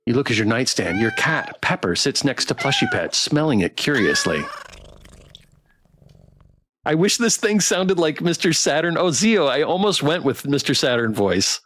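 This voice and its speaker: A man's voice: fairly high pitch, huge pitch swings, normal speed, a bit bright, no echo. A man live-streaming a visual novel, reading aloud in a playful, theatrical way and adding his own comments. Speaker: game streamer.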